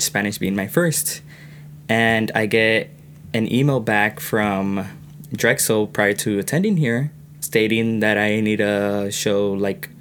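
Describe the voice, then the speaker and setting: A young man from California talking earnestly on a podcast, getting animated as he tells his own story. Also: fairly low pitch, slight background noise. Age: young adult. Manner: earnest, animated when retelling. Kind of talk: casual podcast conversation.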